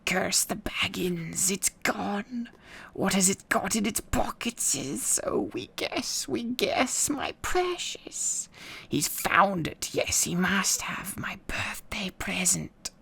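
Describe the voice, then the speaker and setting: A man voicing a wretched, obsessive cave creature in a storybook reading, muttering repetitively to itself in broken grammar with a hissing, raspy whisper. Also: high voice, expressive, takes it slowly, pushed and bright, pitched above the performer's own voice, full character voice. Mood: cursing, distraught. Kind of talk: acted audiobook reading.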